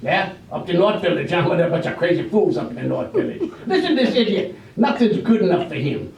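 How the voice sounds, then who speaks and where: A man's voice: high voice, big pitch swings, brisk, darkish tone. A man in amateur readers theater, playing a cantankerous, stubborn village man in his late seventies with rustic, scornful talk. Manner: scornful, rustic country speech. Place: live performance in a library room. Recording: roomy, distant, noisy live library recording.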